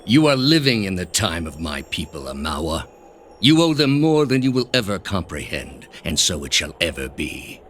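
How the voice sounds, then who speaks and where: An actor playing a cold, pompous male grandmaster of an ancient zealous order in a video game, speaking deliberately and with condescension. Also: low voice, big pitch swings, a bit bright, a little hiss or hum. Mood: scornful, imperious. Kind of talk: dramatic video game dialogue.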